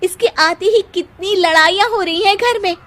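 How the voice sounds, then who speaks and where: A woman's voice: very high-pitched, moderate pace, fairly bright tone, voice pushed up. A woman voicing an exasperated young mother in a family cartoon, complaining with sarcasm. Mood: bitter. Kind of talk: comic cartoon dialogue.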